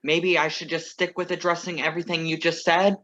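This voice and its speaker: A man's voice: a little high, little pitch movement, sharp tone, a little hiss or hum. A man reading the part of a composed, wry ex-lover who crashes a wedding, in a staged play reading over a video call. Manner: measured, wry, earnest. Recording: muffled video call audio.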